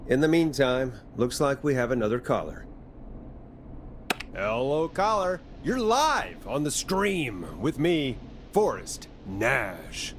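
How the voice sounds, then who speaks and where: A man's voice: mid-range voice, sing-song, takes it slowly, slight background noise. A man playing a wry, easily irritated late-night radio talk show host in a video game, with practised on-air patter.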